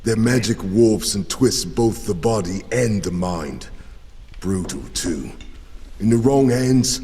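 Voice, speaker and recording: A man playing a solemn warrior clansman in a fantasy audio drama, speaking in a grave, portentous, deliberate manner. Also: lowish voice, a little mellow, close to an everyday voice. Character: solemn warrior clansman warning of dark magic. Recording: long hall reverb, music underneath.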